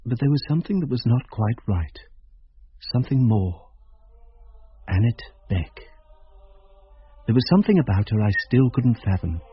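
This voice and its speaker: An actor playing a brooding middle-aged man who narrates a memory in a husky, reflective, measured voice for radio drama. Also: mid-range voice, sing-song, no room sound, dull audio. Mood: reflective, troubled.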